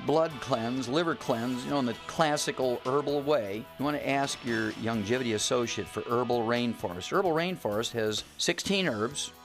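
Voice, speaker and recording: A man of eighty hosting a radio talk show, pitching products in a rambling, repetitive way with many fillers. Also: moderate pace, hardly any pauses, soft background music. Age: 80s. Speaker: radio host.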